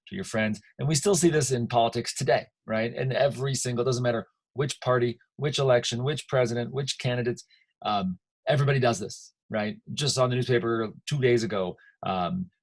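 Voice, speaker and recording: Husky, low-voiced American history teacher. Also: male voice. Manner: conversational, emphatic, asks rhetorical checks. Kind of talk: informal history lecture.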